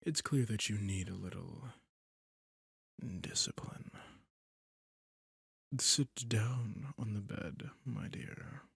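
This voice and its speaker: Possessive vampire lord voiced by a man, low husky rasp, slow purring menace, close dry mic. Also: no room sound, full character voice. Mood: coldly cruel. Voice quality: husky, gravelly, often whispered. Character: possessive sadistic male vampire master, cruelly seductive. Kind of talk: ASMR roleplay audio.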